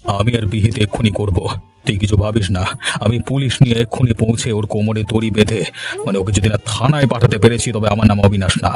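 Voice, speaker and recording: A man's voice: on the low side, steady tone, fast, a little mellow, lightly acted. A man playing a haughty village landlord in a cartoon, commanding and scornful in his dramatic dialogue. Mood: outraged. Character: stern, haughty village landlord, quick to condemn. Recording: music behind the voice.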